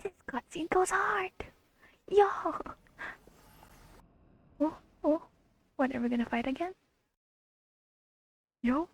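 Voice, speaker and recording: Excitable high-pitched young woman with creaky voice. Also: slow, long pauses, poor audio. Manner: excitable, slangy, giggly. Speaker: gamer recording her playthrough. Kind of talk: casual reaction commentary over gameplay. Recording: game music under the commentary.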